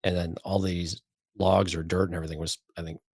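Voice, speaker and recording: A man's voice: low voice, flat delivery, a little fast, gravelly, no room sound, studio quality. A man co-hosting a podcast, discussing a story casually and conversationally. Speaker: podcast co-host.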